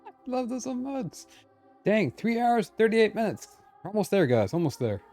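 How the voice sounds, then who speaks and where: A casual game streamer with a very animated, swooping delivery. The commentary is chatty and reactive, with music faintly behind. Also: medium pitch, normal speed. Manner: chatty, reads and reacts aloud. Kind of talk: casual live gameplay commentary.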